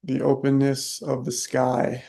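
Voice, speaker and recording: Very slow, mellow man guiding meditation. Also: medium pitch, monotone, gravelly. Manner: soft-spoken, unhurried, long pauses between short phrases. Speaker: meditation teacher. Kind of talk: guided meditation.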